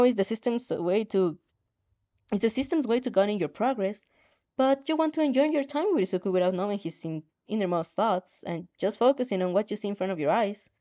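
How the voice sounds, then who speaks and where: A woman's voice: medium pitch, mellow, lo-fi sound. A woman narrating a second-person story expressively, in an informal manner with stumbles over the lines. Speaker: fan fiction reader.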